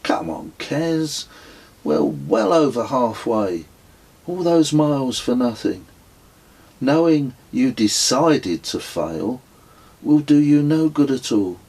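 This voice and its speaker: Man acting an exasperated father, voice raised high. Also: big pitch swings, slow, darkish tone, husky, exaggerated voice, rough recording. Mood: pleading, exasperated. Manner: urgent, imploring. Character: exasperated, pleading father coaxing his young son. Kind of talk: audiobook dialogue.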